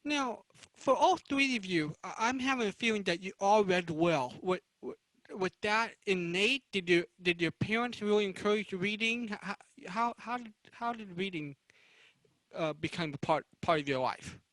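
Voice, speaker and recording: A man moderating a panel, asking guests questions in a halting way with repeated words. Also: sits high, a bit bright, no echo, rough recording. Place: remote virtual convention call.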